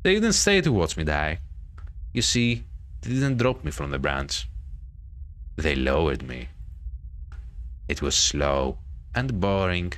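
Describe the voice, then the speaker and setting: A man's voice: a bass voice, very animated, slow pace, long pauses, fairly bright tone, full character voice. A man reading the part of a vain, bitter ghost of a hanged girl in a horror visual novel, with dramatic storytelling. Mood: brooding.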